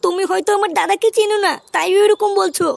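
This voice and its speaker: Very high-pitched fretful young girlfriend, acted by a woman. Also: steady tone, fast, vocal fry, a little hiss or hum, full character voice. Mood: worried. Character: anxious, secretive young girlfriend fearful of her brother. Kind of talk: comedy cartoon voice acting.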